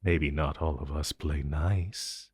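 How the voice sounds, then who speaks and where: A man playing an inhuman monster interrogator in an intense audio roleplay, taunting and condescending, with deliberate theatrical pauses. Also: a bass voice, fairly even intonation, a little mellow, breathy edge, dry recording, clean studio sound, exaggerated voice. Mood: sinister amusement. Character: menacing male monster interrogator, inhuman, smug and possessive.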